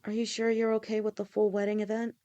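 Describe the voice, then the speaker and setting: A woman's voice: mid-range voice, completely flat delivery, fast talker, clear, resonant tone, dull audio, lightly acted. A woman voicing a loving lamia fiancée. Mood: tender. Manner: gentle, reassuring, affectionate. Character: loving female lamia fiancée, protective and reassuring. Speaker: voice actor. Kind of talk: audio roleplay.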